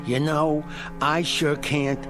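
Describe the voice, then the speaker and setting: A man's voice: fairly high pitch, level tone, slow, over music. An actor playing a blunt, sly, greedy crook in a radio drama, talking in terse tough-guy slang. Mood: sly, menacing. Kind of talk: dramatic radio play acting.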